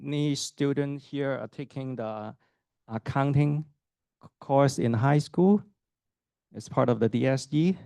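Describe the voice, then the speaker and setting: A man's voice: mid-range voice, unhurried, gravelly, pauses often, dark tone, clean tone, no room sound. A middle-aged man from Hong Kong, an associate professor giving a university admissions talk to students and parents. He speaks conversationally, puts questions to the audience and keeps adding fillers and "right?" tags.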